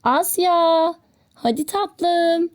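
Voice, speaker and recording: A woman's voice: very high voice, extremely slow, pitched above the performer's own voice, exaggerated voice. A woman voicing a loving, encouraging mother in a children's storybook tale. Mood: tender, encouraging. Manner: tender, encouraging.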